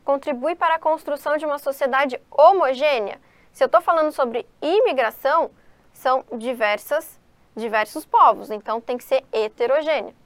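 High-voiced woman teaching exam questions. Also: moderate pace, no echo. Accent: Brazilian Portuguese. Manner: explanatory, addresses students, asks and answers own questions. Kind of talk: exam-prep lesson, reading and explaining questions.

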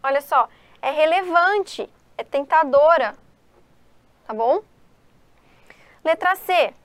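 High-voiced woman teaching exam questions. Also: lively intonation, slow pace, no room sound. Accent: Brazilian Portuguese. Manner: explanatory, addresses students, asks and answers own questions. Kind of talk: exam-prep lesson, reading and explaining questions.

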